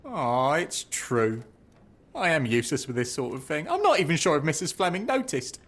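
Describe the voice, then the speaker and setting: An actor playing a timid, apologetic, lovelorn bookseller in a video game, meek and hesitant with a husky voice. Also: male voice, a little high, big pitch swings, moderate pace, a bit bright. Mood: self-deprecating. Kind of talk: video game cutscene acting.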